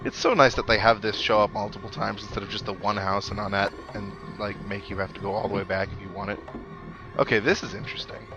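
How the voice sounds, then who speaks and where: A man's voice: lowish voice, flat delivery, fast, dull audio. A man giving casual video game commentary as a let's play host, thinking aloud and reacting as he goes. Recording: loud game music behind. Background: video game music.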